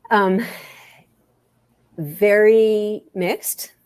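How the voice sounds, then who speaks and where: A woman speaking as an author guest in a candid interview, halting and repeating phrases for emphasis. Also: medium pitch, fairly even intonation, a little mellow, clear, resonant tone, dry recording.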